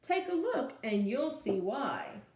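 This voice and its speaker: A woman's voice: fairly high pitch, huge pitch swings, slow pace, darkish tone, reverberant, heavily acted. A woman voicing a gloomy, pouting male fish in a storybook, speaking in a sulky, resigned way. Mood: glum. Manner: sulky, resigned, sing-song rhyme.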